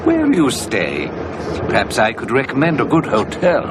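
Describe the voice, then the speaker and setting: An actor playing a courtly, flattering gentleman with suave, old-fashioned manners in a radio horror drama. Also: normal speed. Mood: smooth, flattering. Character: courtly, flattering older gentleman charming a woman. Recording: loud noisy background rumble.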